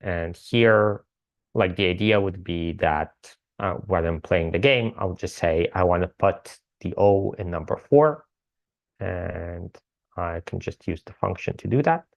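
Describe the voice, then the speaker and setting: Slow, gravelly-voiced male lecturer. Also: low-pitched, no echo, muffled recording. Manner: casual, thinks aloud with many fillers. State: slightly hoarse. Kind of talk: live coding lecture.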